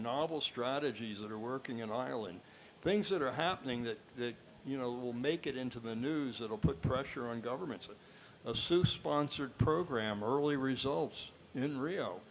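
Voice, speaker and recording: A man's voice: mid-range voice, poor audio. Man co-chairing a panel, background noise. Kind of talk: informal panel discussion. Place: conference panel. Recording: live event with clear background noise.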